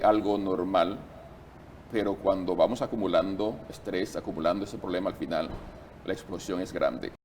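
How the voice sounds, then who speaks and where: A man hosting a talk show interview, chatting in a lively, conversational way. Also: deep voice, slight background noise.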